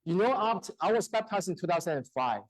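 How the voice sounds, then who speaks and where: A man from China in his thirties, whose first language is a Chinese dialect, telling a personal testimony in church in a halting, storytelling way with frequent laughter. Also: high-pitched, lively intonation, dry recording. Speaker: church speaker. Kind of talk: testimony sermon. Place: church hall.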